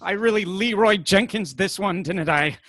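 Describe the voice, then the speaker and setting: A man plays an impulsive, sheepishly defiant male activist in a video-call comedy. His voice is high and bright, with very little room sound. He rambles and stammers excitedly. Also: moderate pace, no room sound, clean studio sound. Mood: apologetic. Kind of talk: comedic stage acting over video call. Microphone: video call microphone.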